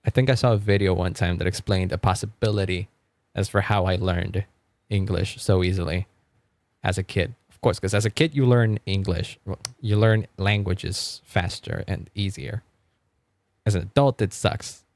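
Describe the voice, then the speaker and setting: A man's voice: low-pitched, steady tone, normal speed, darkish tone, no echo. A man from Argentina, a Spanish speaker, chatting casually on a live stream. He rambles, repeats words as he stammers, and laughs often. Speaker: music streamer.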